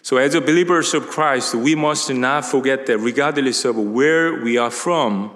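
Korean-accented pastor in a reverberant hall. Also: male voice, mid-range voice, normal speed. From South Korea. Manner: relaxed, conversational, frequent uh and you know fillers. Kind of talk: sermon with personal storytelling. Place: large church sanctuary at a pulpit.

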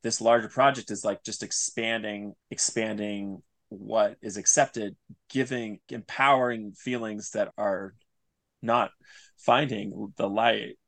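Low-voiced man, thoughtful podcast guest. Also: steady tone, vocal fry, a bit bright, no echo, rough recording. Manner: thoughtful, searching, pieces sentences together haltingly. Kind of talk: reflective interview talk.

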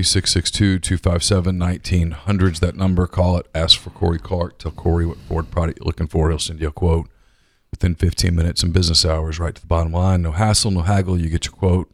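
Man, very low voice, chatty, dry studio sound. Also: dry recording. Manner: chatty, rambling. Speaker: podcast co-host. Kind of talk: casual sports talk and live ad reads. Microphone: studio microphone.

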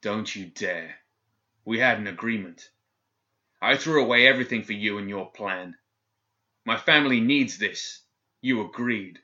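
A man voicing a desperate, betrayed male captain locked in a cell, shouting and pleading with rage. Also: fairly low pitch, fairly even intonation, moderate pace, few pauses, lo-fi sound, close to an everyday voice.

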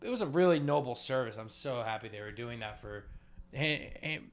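A man's voice: medium pitch, lively intonation, brisk. A man co-hosting a comedy podcast in casual, profane, argumentative banter. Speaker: podcast co-host.

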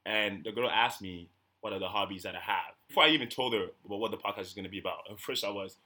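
Young man, emphatic, loud speaking voice, husky, bright tone, casual podcast talk. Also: on the low side, quick pace. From Canada. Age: young adult. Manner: emphatic, says he has a loud voice. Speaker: podcast host. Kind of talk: casual conversation.

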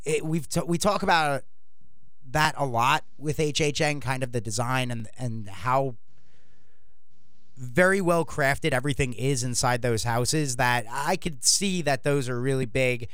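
A man hosting a podcast in casual chat, rambling and animated, with many restarts. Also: medium pitch, a little slow, smooth, dry recording, clean studio sound. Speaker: podcast host.

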